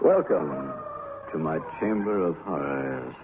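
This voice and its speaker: A man's voice: extremely low pitch, expressive, takes it slowly, full character voice. A man playing a gleefully menacing horror show host, introducing tales with hammy, punning relish. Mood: ghoulish welcome. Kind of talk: theatrical horror anthology introduction. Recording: tinny narrow-band radio, loud music behind.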